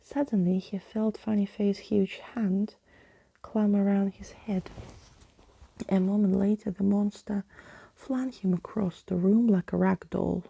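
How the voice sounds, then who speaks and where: Whispering, creaky-voiced woman reading slowly. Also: mid-range voice, steady tone, no echo, rough recording. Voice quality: soft breathy whisper. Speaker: ASMR reader. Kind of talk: whispered ASMR storybook reading.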